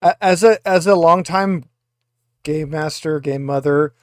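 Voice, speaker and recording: A man with a slightly high voice runs a tabletop game as game master. He talks casually to his players, and the sound is close and dry. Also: a little slow, dry recording. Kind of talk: casual tabletop roleplay narration.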